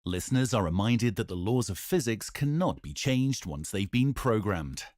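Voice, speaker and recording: A man playing a formal announcer who reads an official disclaimer in measured, bureaucratic wording for a fiction podcast. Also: lowish voice, expressive, a little mellow, no room sound, lightly acted.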